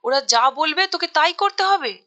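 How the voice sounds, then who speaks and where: A woman's voice: sits high, animated, normal speed, bright, forceful tone. A woman playing a fretful, meddling middle-aged mother in a cartoon story, probing and nagging as she talks. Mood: probing, disapproving. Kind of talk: Bengali cartoon story acting.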